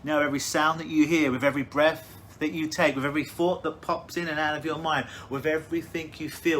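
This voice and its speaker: A man's voice: mid-range voice, a bit bright, slight background noise. Soothing man guiding a hypnosis session. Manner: slow soothing suggestive delivery with drawn-out phrases. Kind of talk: guided hypnosis visualization.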